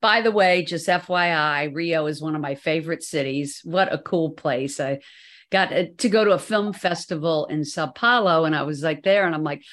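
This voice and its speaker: Chatty woman podcast host, low voice. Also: normal speed, a bit bright. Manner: chatty, upbeat, tells anecdotes. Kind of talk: casual interview chat.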